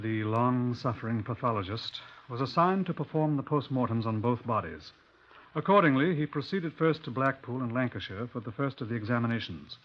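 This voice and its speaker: A man acting a gruff, impatient London police superintendent in a radio drama, with a clipped, formal British delivery. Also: mid-range voice, lightly acted. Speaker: narrator and lead actor. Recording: muffled old 1950s radio recording.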